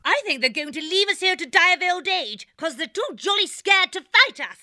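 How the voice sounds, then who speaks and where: A man doing a feisty high-voiced hare maid. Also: animated, measured pace, pushed and bright, no room sound, clean studio sound, full character voice. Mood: taunting. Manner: brash, hearty, old-fashioned slang. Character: feisty young female hare, plucky and bold. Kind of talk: audiobook character voice.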